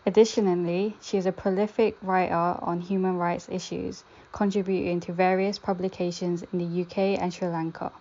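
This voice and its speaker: Woman podcast host, measured scripted read, dark tone, lower pitch. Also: level tone, highs cut off. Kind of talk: read introduction and question.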